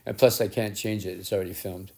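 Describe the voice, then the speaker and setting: Raspy-voiced middle-aged man, rambling. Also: sits low, fairly even intonation, dark tone. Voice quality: rough, raspy voice. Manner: rambling, self-deprecating, halting with restarts. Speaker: collage artist and painter. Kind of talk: casual narration while working. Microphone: head-mounted action camera.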